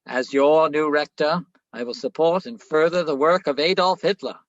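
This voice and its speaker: A man's voice: on the high side, normal speed, dry recording, lo-fi sound, close to an everyday voice. A man playing a stern, fanatical university rector declaiming a pompous formal speech in a radio play. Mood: fervent, stern.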